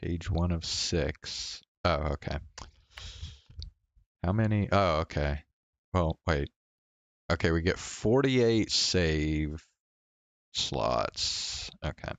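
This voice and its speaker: A man's voice: sits low, lively intonation, takes it slowly, long pauses, dry recording. A man giving casual game commentary as a streamer, muttering hesitantly to himself.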